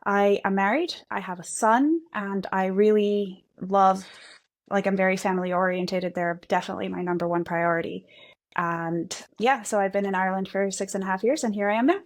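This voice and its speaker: Easygoing woman engineer, Spanish native. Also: medium pitch. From Guatemala and El Salvador. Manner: easygoing, quick, self-deprecating. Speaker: guest engineer. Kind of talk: conversational podcast interview.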